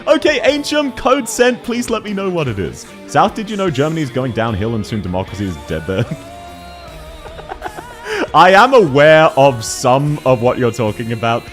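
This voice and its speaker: A man with a thick Australian accent, chatting casually and quickly as a game streamer, laughing often while reading chat aloud. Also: medium pitch, faint music behind. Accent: Australian, unusual variety. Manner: fast-talking, laughs often, animated.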